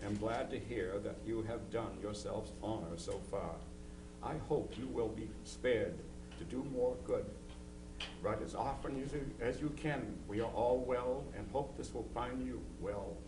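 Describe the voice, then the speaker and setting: Man as hardworking old-time farm father, dramatized letter, halting and plain, roomy distant sound with clear background noise. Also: medium pitch, lightly acted. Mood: fond, hopeful. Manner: halting, homely reading with stumbles. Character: hardworking farmer father, homely and steady. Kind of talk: dramatized reading of historical letters. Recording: echoey, distant, noisy hall recording with hum.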